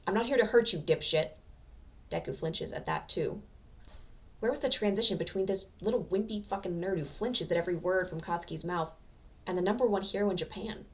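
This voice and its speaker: A woman reading a story aloud as an amateur narrator, with expressive scripted delivery. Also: fairly low pitch, a little fast, distant mic.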